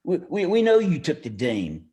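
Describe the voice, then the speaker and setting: A man's voice: fairly high pitch, wildly swooping pitch, normal speed, warm tone. An actor playing a dim, menacing mobster henchman with a stammer in a campy noir radio play. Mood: bumbling menace.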